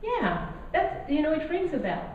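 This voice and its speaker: A woman's voice: sits high, sing-song, normal speed, slight background noise. French lady giving a talk in a gallery, lots of um and uh, far off and echoey, bit muffled. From France. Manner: friendly, hesitant, many fillers. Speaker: lecturer. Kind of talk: public lecture. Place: small gallery room with guests. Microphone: handheld mic, far camera. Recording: roomy, distant, dull lo-fi.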